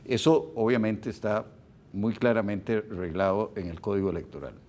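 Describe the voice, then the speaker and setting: A Costa Rican man in his sixties hosting a formal television interview, putting his questions deliberately, phrase by phrase. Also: on the low side, darkish tone. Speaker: interviewer, host. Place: television studio.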